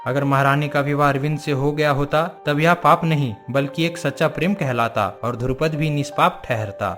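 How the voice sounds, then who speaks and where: A man's voice: a little high, steady tone, moderate pace, fairly bright tone, soft background music, natural voice. Solemn wise forest guru played by a man. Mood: solemn, instructive. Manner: solemn, instructive, formal wording. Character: wise male forest guru, grave and all-knowing. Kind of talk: Hindi cartoon moral-story acting.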